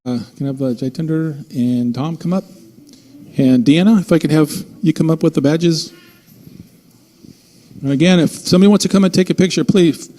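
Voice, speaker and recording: A man making informal, somewhat halting remarks as an official at a public meeting. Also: on the high side, mellow, in a large hall. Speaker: official introducing police staff at a meeting. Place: indoor city council meeting.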